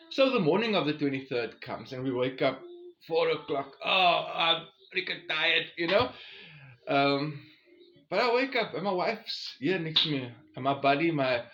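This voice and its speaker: A forty-year-old South African man casually telling a personal story to his viewers in an expressive, chatty way. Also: on the high side, muffled recording. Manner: expressive, chatty, acts out quoted exchanges. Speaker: vlogger.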